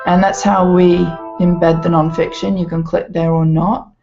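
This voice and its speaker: A woman's voice: lowish voice, flat delivery, over music. A woman giving an informal, hesitant walkthrough as an educational presenter. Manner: hesitant, halting delivery. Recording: slightly dull, lo-fi sound.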